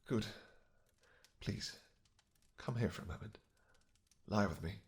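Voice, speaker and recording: Guy doing a weary vampire king, low gravelly voice, soft n halting, doting husband in a romance roleplay. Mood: tired, tender. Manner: soft, halting, gentle, intimate. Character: weary, tender vampire king, doting on his pregnant wife. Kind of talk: romantic fantasy audio roleplay.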